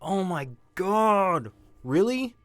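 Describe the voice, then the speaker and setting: An actor playing a shaken young male robbery suspect in an audio drama, defensive and grieving, halting and stammering as he talks. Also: sits high, very animated, noisy background, full character voice. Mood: sullen. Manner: halting, stammering, repeating himself. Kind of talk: naturalistic audio drama acting.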